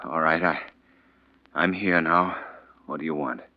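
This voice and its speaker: An actor playing a desperate, weary professor who stammers urgently in a 1940s radio mystery. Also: male voice, rumbling low, unhurried, no room sound. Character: weary, desperate professor falsely suspected of murder. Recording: dull vintage radio recording.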